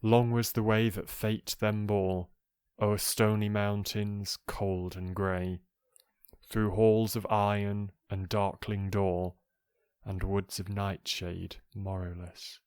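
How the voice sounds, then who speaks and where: Deep, slow, soothing male poetry reader. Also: clear, resonant tone, dry recording, clean studio sound. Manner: calm, measured, soothing delivery. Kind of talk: slow poetry reading for relaxation.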